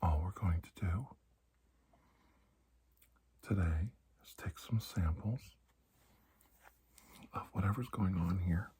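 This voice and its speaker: A man whispering softly in an ASMR roleplay as a calm, reassuring doctor, speaking in halting, soothing short phrases. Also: deep voice, a little hiss or hum. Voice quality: breathy, mostly whispered. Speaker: ASMR performer playing a doctor.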